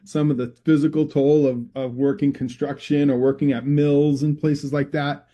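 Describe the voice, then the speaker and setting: A middle-aged man with a Massachusetts accent talks through his background as an interview guest. His voice is very dark and mellow, and he often restarts sentences and winds around to his point. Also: fairly high pitch, little pitch movement, moderate pace, lo-fi sound. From Massachusetts, USA. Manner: rambling, many restarts and fillers. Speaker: guest, public health researcher. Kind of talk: conversational interview.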